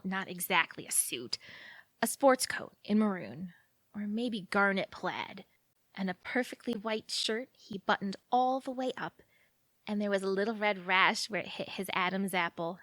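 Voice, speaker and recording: A young small-town woman played by an actress in an audio drama, dreamy and earnest. She chats freely with a defiant romantic streak, at a middling pitch. The sound is dry, with no room around it. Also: lively intonation, normal speed, a bit bright, no room sound, close to an everyday voice. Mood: dreamy, proud. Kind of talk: naturalistic audio drama dialogue.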